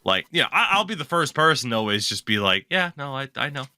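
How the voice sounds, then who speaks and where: A man's voice: mid-range voice, big pitch swings, brisk, sharp tone, clear, resonant tone, no room sound. A young man co-hosting a podcast, chatting casually, rambling and correcting himself as he goes, swearing now and then. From lives in Maine. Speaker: podcast co-host. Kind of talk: casual chat.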